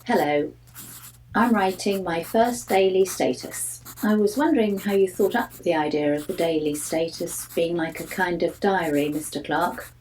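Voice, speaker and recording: A woman's voice: lowish voice, moderate pace, a little mellow, slight background noise, close to an everyday voice, rough recording. A woman voicing an anxious, candid schoolboy reading out his diary in a chatty, confiding way for a children's audiobook. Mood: earnest, chatty. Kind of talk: first-person children's audiobook narration.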